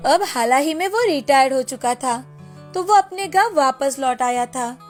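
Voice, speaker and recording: A woman's voice: high voice, expressive, moderate pace. A woman narrating a scripted storybook tale as the storyteller, with music behind her. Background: background music.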